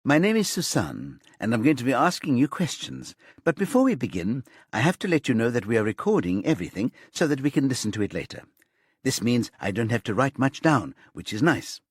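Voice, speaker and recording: Man as female prosecutor, raised pitch, brisk, falsely cheerful, insistent questioning, audiobook character, dry sound. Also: sing-song, slightly rough, no room sound. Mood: breezy, chatty.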